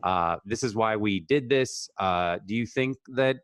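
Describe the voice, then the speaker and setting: A man gives an informal thank-you to viewers on a video call. He speaks haltingly in a lowish voice, with very little room echo. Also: fairly bright tone, clean tone. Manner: halting, hesitant. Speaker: cast member thanking viewers. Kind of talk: informal sign-off.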